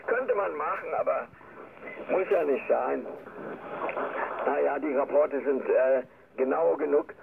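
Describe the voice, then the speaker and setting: A man's voice: a little high, unhurried, creaky, pauses often. An older man from Germany chatting casually as an amateur radio operator over shortwave radio, often tagging his sentences with little questions. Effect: shortwave single-sideband radio. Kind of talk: casual ham radio chat. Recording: noisy narrowband shortwave radio reception. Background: radio static.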